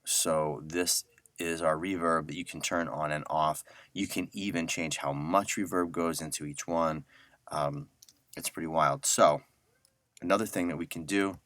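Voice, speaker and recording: A middle-aged man giving a casual audio software tutorial, talking informally and thinking aloud as he works. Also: a bass voice, steady tone, measured pace, no room sound. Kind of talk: casual screen-share tutorial.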